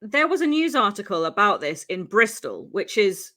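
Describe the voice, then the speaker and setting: A woman's voice: medium pitch, big pitch swings, normal speed, sharp tone. Chatty woman from Wales telling a true crime story. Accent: English with faint Welsh traces. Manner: chatty, laughing, lots of ums and asides. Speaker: podcast co-host.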